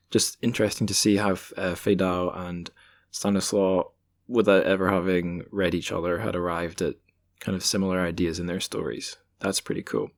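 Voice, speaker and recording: A man with a low-pitched voice talks as a podcast host. He is informal and conversational, moving casually through announcements with music playing in the background.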